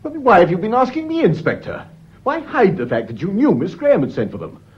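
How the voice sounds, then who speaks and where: An actor playing a tense, defensive, controlled schoolmaster and widower in a British radio drama, speaking in a clipped, formal way with a British accent. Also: male voice, high voice, wildly swooping pitch, a little fast, breathy edge, highs cut off, exaggerated voice. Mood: defensive, indignant.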